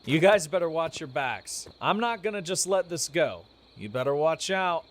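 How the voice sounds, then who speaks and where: A man's voice: on the high side, lively intonation, moderate pace, fairly bright tone. A young man playing a skeptical, jumpy, sarcastic guy spooked by his friends in an amateur radio play. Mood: exasperated. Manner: exasperated, sarcastic.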